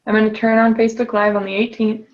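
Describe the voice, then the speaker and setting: A woman's voice: fairly high pitch, level tone, fast, muffled recording. A woman client repeating tapping phrases after her coach and hesitantly describing her nervousness. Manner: hesitant, searches for words.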